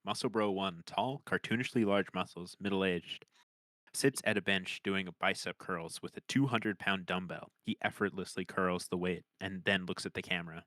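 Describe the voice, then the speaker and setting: Male script reader, deep register, dry recording, narrating scene headings and action. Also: moderate pace, a bit bright, dry recording. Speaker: podcaster reading stage directions. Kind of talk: scripted narration at a table read.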